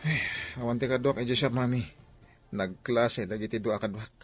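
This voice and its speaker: Guy acting mild filipino shop boss, radio drama, mid pitch, bit of music underneath. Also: normal speed, breathy edge, dry recording, natural voice. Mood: worried. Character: male print shop boss, mild son leaning on his worker.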